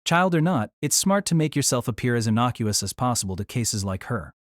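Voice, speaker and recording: A man's voice: mid-range voice, sing-song, a little fast, slight background noise, lightly acted. An actor playing a wry, exasperated young man, delivering wordy first-person story narration and dialogue in a deliberating, self-conscious way. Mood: placating, wry.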